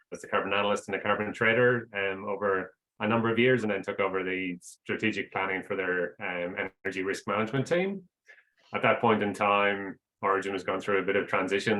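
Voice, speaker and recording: A man in his forties with a very low voice answers interview questions over a video call. He talks casually and pauses often with uh and um. He has worked his way into investor relations. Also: poor audio. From outside Australia. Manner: relaxed, with many "uh" and "um" fillers. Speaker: investor relations head, guest.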